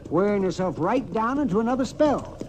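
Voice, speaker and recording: An actor playing a crusty, grumbling frontier town doctor in a folksy, fussy 1950s radio western style. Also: male voice, high voice, lively intonation, a little fast. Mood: grumbling, exasperated. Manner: folksy, fussy, exasperated muttering and chuckling. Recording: noisy old radio drama recording.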